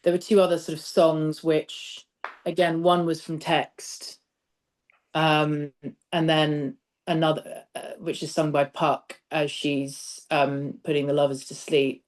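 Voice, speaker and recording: A woman's voice: sits low, level tone, measured pace, a little mellow. A woman speaking as a theatre director in a casual interview, thoughtful and discursive, letting phrases trail as she explains. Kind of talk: casual interview chat about stage work.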